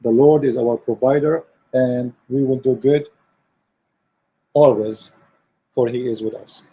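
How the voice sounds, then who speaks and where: Hoarse man with a dark, husky voice. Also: medium pitch, steady tone, unhurried, low-quality recording. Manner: earnest, repetitive, many fillers and restarts. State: losing his voice. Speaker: online religious debater. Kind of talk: direct address to viewers, closing remarks.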